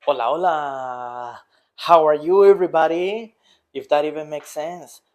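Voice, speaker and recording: A man's voice: a little high, a little slow, a little mellow. A man from Rica, a teacher giving a rambling, earnest motivational talk in English to his viewers. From Costa Rica. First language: Spanish. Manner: rambling, earnest, with many restarts and fillers. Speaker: Spanish teacher. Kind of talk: casual motivational talk to camera.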